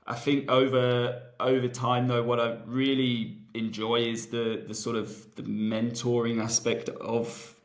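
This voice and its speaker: Male coach, 30s, level even delivery, loose and chatty. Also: mid-range voice. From Wales. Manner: relaxed, many fillers and restarts, thinks aloud. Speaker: rugby coach, guest. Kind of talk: casual interview, long rambling answers.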